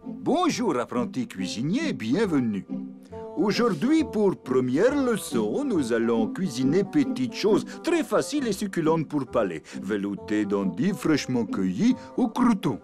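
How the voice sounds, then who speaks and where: A man's voice: fairly high pitch, wildly swooping pitch, measured pace, music in the background. A man voicing a flamboyant male cartoon TV chef from Moscow who speaks broken French with dropped articles. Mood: grandiose. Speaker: TV cooking teacher.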